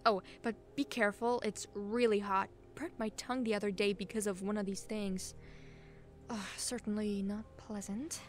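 A woman plays a caring young woman at home with her crush in an audio roleplay. Her voice sits at a middle pitch. She talks casually and intimately, gently teasing, with small hesitations. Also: fairly even intonation, normal speed, music in the background, lightly acted. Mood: fond, chatty. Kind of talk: intimate ASMR-style audio roleplay, casual one-sided chat.

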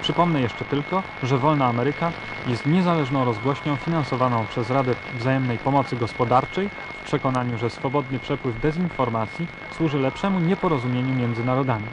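A man's voice: medium pitch, brisk, few pauses, close to an everyday voice. Guy playing an official-sounding radio news announcer, parody bulletin, really noisy recording. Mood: formal. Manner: formal, official. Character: formal male propaganda radio news announcer.

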